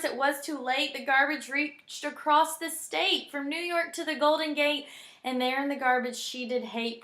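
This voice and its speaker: A woman speaking affectionately to a young child, as in a personal bedtime message with expressive readings of children's poems, animated and sing-song in the verse. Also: high voice, roomy sound.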